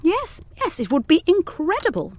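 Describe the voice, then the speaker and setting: A woman playing an earnest young governess in a gothic period audio drama, speaking in formal, measured diction. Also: extremely high pitch, wildly swooping pitch, darkish tone, full character voice. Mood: dismayed. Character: young pretty governess, earnest, questioning, protective.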